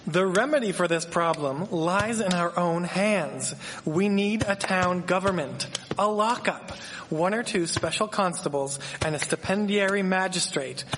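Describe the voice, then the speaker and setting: A man's voice: high voice, measured pace. A man playing an earnest, civic-minded Victorian newspaperman, declaiming in a live radio drama. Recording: echoey hall, noisy with clicks.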